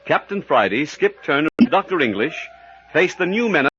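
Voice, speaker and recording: A man's voice: on the high side, very animated, normal speed, a bit bright, slightly rough, no echo. A man announcing a 1940s radio serial in dramatic scripted style, on a muffled old recording. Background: music.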